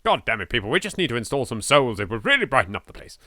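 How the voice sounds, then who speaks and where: English male gamer, jokey theatrical asides, bright forceful tone, close dry mic. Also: medium pitch, very animated, very fast, no room sound. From England. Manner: sweary, theatrical. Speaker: let's play video maker. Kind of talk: gaming commentary with jokey asides.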